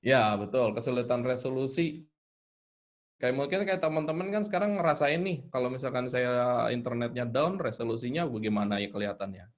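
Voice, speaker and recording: A man's voice: mid-range voice, animated, brisk. A man giving a casual online talk as a guest storyteller, informal and hesitant as he searches for words. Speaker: guest storyteller sharing tips. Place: online live video call.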